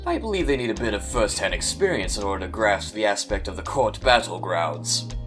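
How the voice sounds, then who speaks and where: A man's voice: on the low side, big pitch swings, brisk, fairly bright tone, faint music behind. A man playing a menacing cold prosecutor. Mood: measured. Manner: clipped, menacing, calls others "little lamb". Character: menacing samurai-like male prosecutor, cold and threatening. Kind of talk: fan audio drama.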